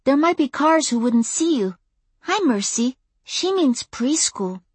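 A bossy little girl of six, with a raised childlike voice. She explains and tattles like a knowing big sister in a lively audiobook performance. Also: a little slow, dry recording. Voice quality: high, childlike. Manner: matter-of-fact, tattling.

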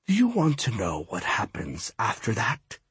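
A man voicing a menacing, gloating serpent god of death, taunting and deliberate in manner. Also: fairly low pitch, wildly swooping pitch, fairly bright tone, breathy edge, dry recording, voice pushed down, heavily acted.

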